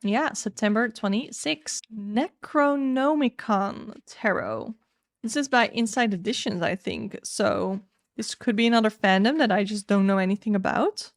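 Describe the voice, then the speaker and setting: A woman's voice: mid-range voice, measured pace, dry recording, studio quality. A young woman whose first language is Dutch, casually reviewing upcoming tarot and oracle decks in a candid, chatty way. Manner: candid, chatty, frank opinions. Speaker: tarot YouTuber.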